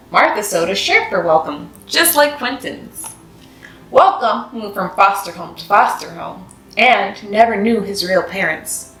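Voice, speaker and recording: A woman's voice: mid-range voice, a bit bright. A woman narrating a children's story expressively, as a read-aloud host. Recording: roomy echo, music behind.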